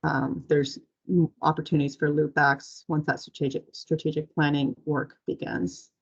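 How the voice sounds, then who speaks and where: Lady explaining plans on a work call, lowish creaky voice, bit muffled. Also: flat delivery, normal speed. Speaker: agency staff presenter. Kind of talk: semi-formal presentation on a conference call. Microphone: conference call. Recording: muffled conference call audio.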